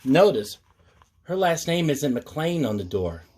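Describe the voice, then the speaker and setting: A man hosting storytime, reading a picture book aloud with mock-earnest asides in a measured storyteller's manner. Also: a little high, big pitch swings, darkish tone, husky.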